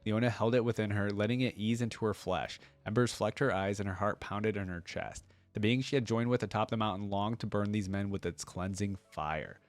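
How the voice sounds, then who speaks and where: Low-voiced man reading and reacting. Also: brisk, hardly any pauses, no room sound. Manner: casual, slangy asides. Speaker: reaction video host. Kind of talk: reading a story aloud with casual reactions.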